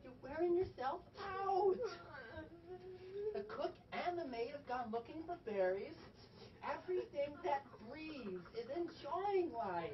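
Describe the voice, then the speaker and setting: A woman's voice: high-pitched, lo-fi sound, heavily acted. A woman on stage playing a fussy, pleading elderly servant in a dramatic, exclamatory manner. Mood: coaxing, chiding. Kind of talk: theatrical stage acting. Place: theatre stage, picked up from a distance. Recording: distant stage recording with clear background noise.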